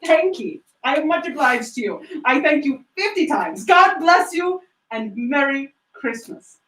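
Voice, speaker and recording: A woman's voice: sits high, lively intonation, unhurried, fairly bright tone. A woman playing a reformed old-story miser, a man, in an effusive, exclamatory theatrical reading. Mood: excited, generous. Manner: exclamatory, effusive, breathless repetition. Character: reformed miser hero, giddy, frantic, overjoyed man. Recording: roomy, distant microphone.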